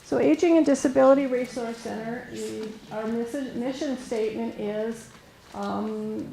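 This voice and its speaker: A woman with a soft voice giving an informal presentation as a resource specialist, hesitant, with many fillers and restarts. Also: a little high, measured pace, vocal fry, a little hiss or hum. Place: meeting room with an audience. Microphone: room microphone recorded for camera. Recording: roomy echo.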